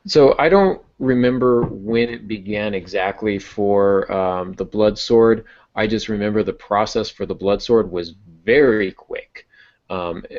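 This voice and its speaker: A man with a deep voice chats casually as a podcast host. He feels his way through sentences and restates words as he goes. The recording is a bit dull and lo-fi. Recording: dull, muffled lo-fi audio.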